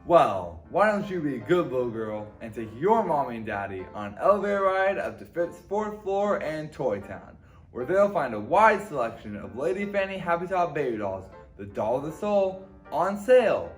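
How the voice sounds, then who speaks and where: A man's voice: on the high side, very animated, normal speed, faint music behind. An actor playing a cheery, folksy male Santa's helper and salesman in an old-time radio advertisement. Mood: cheery, salesy.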